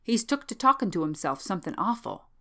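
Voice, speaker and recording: A woman's voice: medium pitch, sing-song, brisk, sharp tone, voice pushed up. A woman voicing a wrinkled old manservant in an audiobook, deferential, chatty and worried, with rustic, servile speech. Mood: worried, confiding. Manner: servile, rustic ungrammatical speech, frequent "sir". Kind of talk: audiobook character voice.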